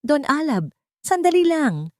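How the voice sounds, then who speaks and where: A woman's voice: high voice, big pitch swings, a little slow, no room sound, studio quality, pitched above the performer's own voice. A woman playing a scheming, greedy daughter in an audio story, insistent and pleading. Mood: frantic pleading.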